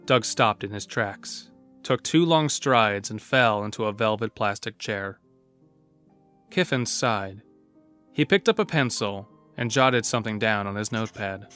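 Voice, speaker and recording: A man's voice: lowish voice, animated, unhurried, fairly bright tone. A man narrating a scripted horror story. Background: music.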